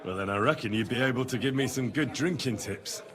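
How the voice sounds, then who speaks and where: A man's voice: mid-range voice, fairly quick, a crowd in the background, close to an everyday voice. An actor playing a chummy, probing medieval man in a tavern, in video game dialogue.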